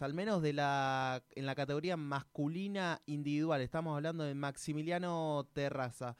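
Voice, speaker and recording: Clear, dry-voiced Argentine radio host. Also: male voice, fairly high pitch, dry recording. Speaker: radio sports interviewer. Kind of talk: conversational live radio interview. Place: radio studio.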